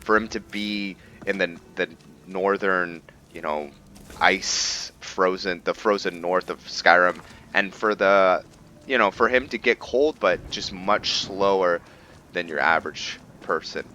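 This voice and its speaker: A man with a very low, bright-edged voice hosts a roleplay game video. He talks casually and thinks aloud, pausing and feeling his way through sentences. Faint noise and ambience sit behind him. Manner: thinks aloud, halting, trailing pauses. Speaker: gaming video host.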